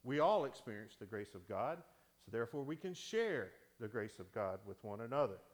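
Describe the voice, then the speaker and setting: A man, a deacon, preaching a sermon in a church with a big voice that swings from loud to hushed, speaking into a microphone he wears. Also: mid-range voice, sing-song, moderate pace. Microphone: wearing a microphone.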